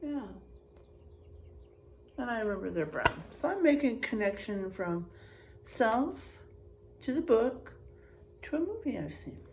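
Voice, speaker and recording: Animated, high-voiced woman reading to kids. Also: slight background noise. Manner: expressive, drawn-out emphasis on rhymes, exclaiming. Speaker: elementary school teacher reading aloud. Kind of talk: animated picture-book read-aloud with chatty asides to children.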